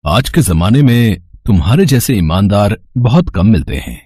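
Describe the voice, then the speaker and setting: An actor playing a wealthy, generous gentleman in a moral story cartoon, measured, dignified and reassuring. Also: lowish voice, very animated, no echo, studio quality. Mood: admiring.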